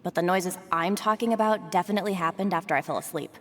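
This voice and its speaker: A woman's voice: lowish voice, fast, a little hiss or hum, close to an everyday voice. A woman voicing a guarded, street-wise townswoman in a video game, wary and noncommittal, over music. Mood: wary, offhand. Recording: music playing behind the voice.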